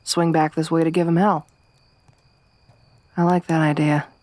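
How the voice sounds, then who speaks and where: A woman plays a tough young wasteland survivor who talks low and slow, her voice close and dry with no room sound. Her tone stays flat and even, soft-spoken but gruff, with dry teasing running through it. It's an intimate ASMR roleplay. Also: no echo. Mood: low-key, wry.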